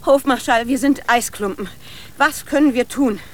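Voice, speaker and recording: Woman as a high-voiced, fretful mother queen. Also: moderate pace, close to an everyday voice. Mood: plaintive. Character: fretful, sentimental poor mother made queen. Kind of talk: children's radio play acting.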